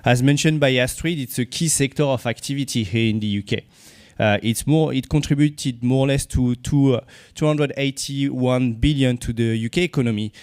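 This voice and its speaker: A man's voice: mid-range voice, darkish tone, clear, resonant tone, no room sound, clean studio sound. French-accented man giving a conference talk. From France. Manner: halting, many fillers and restarts. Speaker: conference speaker, company CEO. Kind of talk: conference presentation. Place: conference venue.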